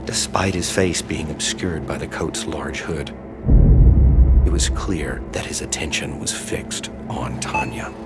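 A man narrating a scripted horror audio drama in a measured, grave storytelling manner. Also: very deep voice. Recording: loud music bed behind the voice.

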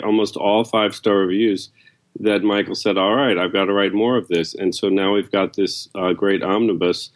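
A man speaking casually as an editor guest in an interview. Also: on the low side.

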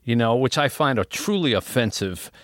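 A man's voice: medium pitch, expressive, normal speed, fairly bright tone, dry recording. Reflective male talk host, dry studio sound. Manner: reflective, storytelling, opinionated. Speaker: radio talk show host. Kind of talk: casual radio talk.

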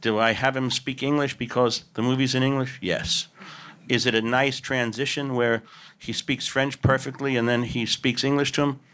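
A man's voice: medium pitch, level tone, normal speed, fairly bright tone, smooth. A man answering audience questions at a film panel, musing aloud and answering his own rhetorical questions. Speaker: film director at a Q&A.

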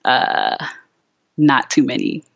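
A young woman from Virginia chatting candidly as a podcast guest, peppering her talk with "like" and quick restarts. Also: very deep voice, wildly swooping pitch, vocal fry, fairly bright tone, no echo. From Richmond, Virginia, USA. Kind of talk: casual interview conversation. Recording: slightly dull, lo-fi sound.

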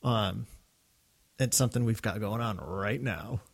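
A man's voice: on the low side, unhurried, vocal fry. A man co-hosting a fan podcast, reading listener mail and chatting casually, laughing easily. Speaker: podcast co-host.

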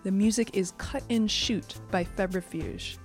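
A woman's voice: medium pitch, unhurried, a little mellow, faint music behind. A woman hosting a podcast, speaking as a presenter in the manner of show sign-offs and credits. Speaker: podcast host.

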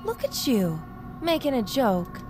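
A woman's voice: a little high, sing-song, a little slow, noisy background. An actress playing a brash, stubborn young woman in army training, with blunt, sarcastic delivery in an audio drama. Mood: sarcastic, playful. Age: young adult. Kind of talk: naturalistic audio fiction acting.

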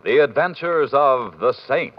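A man's voice: medium pitch, animated, measured pace, dull audio, loud music behind the voice. A man announcing a drama series in golden-age radio style on a vintage recording.

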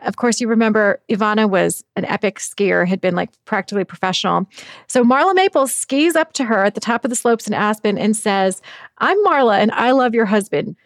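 A woman, a magazine editor, talking in an animated, chatty way as a guest in a news interview. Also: medium pitch, fairly quick, no echo, studio quality. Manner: animated, chatty, frequent "like" and "I mean".

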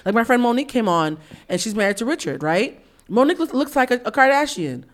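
Fast-talking, emphatic woman podcast host. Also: on the high side, sing-song. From East Coast of the United States. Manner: emphatic, opinionated storytelling with acted-out quotes. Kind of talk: candid podcast conversation.

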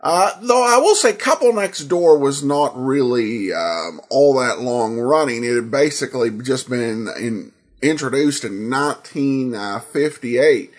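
An American man hosting a podcast with informal commentary, hesitant and full of filled pauses. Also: medium pitch, lively intonation. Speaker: podcast host.

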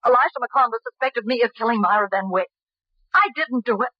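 A woman's voice: on the high side, animated, fast talker, no echo. An actress playing a plucky, probing young woman sleuth in a 1940s radio mystery. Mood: probing, pressing. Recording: muffled old radio recording.

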